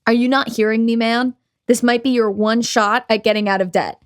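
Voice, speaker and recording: A woman's voice: a little high, steady tone, moderate pace, no echo, studio quality, lightly acted. A woman voicing a hyped, pushy gym-bro soldier friend. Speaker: podcast co-host.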